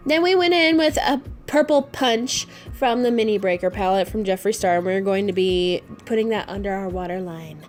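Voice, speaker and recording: A woman talks through a makeup tutorial as a casual vlogger, with a mid-pitched voice. She is informal, playful and openly gushing. Music plays behind her. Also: moderate pace, few pauses.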